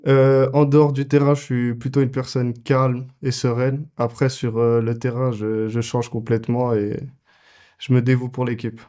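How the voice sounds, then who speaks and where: A man's voice: mid-range voice, little pitch movement, moderate pace, warm tone. A man in his twenties, a goalkeeper answering interview questions casually, with many fillers and restarts. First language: French. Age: 20s.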